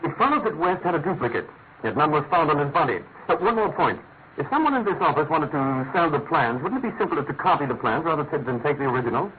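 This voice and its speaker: An actor playing a brisk, authoritative British private detective with a clipped, questioning manner in a classic radio drama. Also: male voice, on the high side, very animated. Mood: incisive questioning. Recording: noisy, narrow-band old radio recording.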